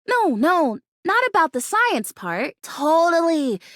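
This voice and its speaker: A bubbly little fake houseplant from a video game, with a very high, lively voice that leaps around. She is naive and stubbornly hopeful, chattering emphatically with big dramatic flair. The sound is close and dry. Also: slow pace, no room sound, full character voice. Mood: defiant, pleading. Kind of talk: animated video game character acting.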